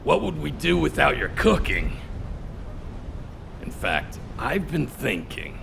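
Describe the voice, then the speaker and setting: An actor playing an upbeat, joking soldier who loves food in a video game, with a gravelly voice. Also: male voice, huge pitch swings, slow pace, exaggerated voice. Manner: jokey, enthusiastic. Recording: clear background rumble.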